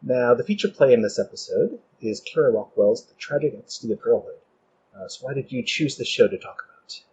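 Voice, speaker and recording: A man's voice: medium pitch, animated, fairly quick, darkish tone, lo-fi sound, a little hiss or hum. A man hosting a podcast and putting questions to a guest in an interview. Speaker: podcast host.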